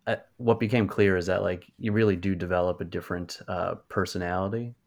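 A man's voice: deep voice, fairly even intonation, fast talker, gravelly. A podcast co-host, a man in casual conversation, hedging often with "like". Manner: hedging, lots of "like".

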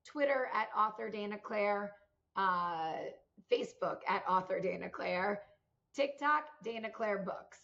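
A woman guest author listing where to find her online in a brisk, promotional way. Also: mid-range voice, vocal fry, fairly bright tone, highs cut off. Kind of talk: listing contacts, casual.

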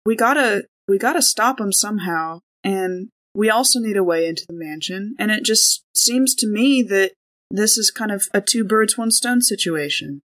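Casting: female tabletop gamer, medium pitch, casual conversational play. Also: lively intonation, moderate pace, a bit bright. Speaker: tabletop role-playing game player. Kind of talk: casual in-game chat.

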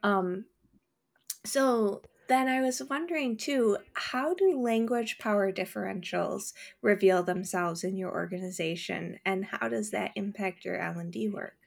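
A woman co-hosting a podcast asks her guest questions in a measured, encouraging way. Her mid-pitched voice has a strong gravelly creak. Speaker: podcast co-host. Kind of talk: interview questions.

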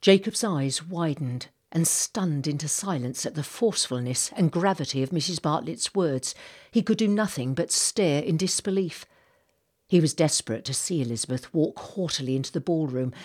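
A woman reading a novel as an audiobook narrator, in measured, expressive storytelling. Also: lowish voice, slightly rough. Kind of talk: scripted literary narration.

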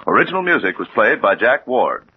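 A man's voice: mid-range voice, very animated, a little fast, narrow, tinny audio, a little hiss or hum. A man announcing scripted credits in a formal, emphatic 1940s radio style. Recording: very thin, band-limited 1940s radio recording. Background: organ music.

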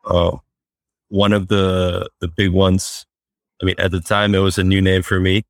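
Deep flat-voiced man, dry sound. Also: no room sound. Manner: halting, many fillers and restarts. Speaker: guest, startup founder. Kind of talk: remote interview. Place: Fort Worth, Texas.

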